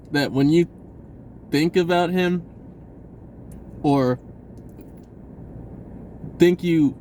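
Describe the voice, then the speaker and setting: A man telling a personal story in a casual, rambling vlog style, acting out bits of dialogue in an animated way. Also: a little high, slight background noise. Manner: animated, acts out dialogue, chuckles often.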